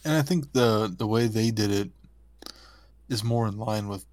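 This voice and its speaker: A man's voice: fairly low pitch, measured pace, darkish tone, no room sound. A man co-hosting a podcast, giving his opinions in a casual review chat. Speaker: podcast co-host.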